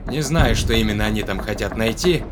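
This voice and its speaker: A man's voice: on the low side, brisk, noisy background, natural voice. An actor playing a self-assured male spaceship commander in an audio drama, speaking in an offhand, matter-of-fact way.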